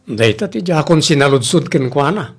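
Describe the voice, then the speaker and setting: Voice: medium pitch, expressive, moderate pace, husky. An actor playing a teasing grown child bantering with their mother in a comedy radio drama.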